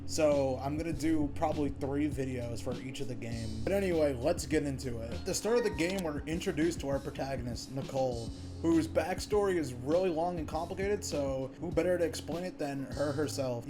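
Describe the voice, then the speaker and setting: A man with a fairly high voice delivers informal, slangy commentary on a video game. He sounds like a casual video essay host. Music plays clearly behind him. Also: brisk, few pauses.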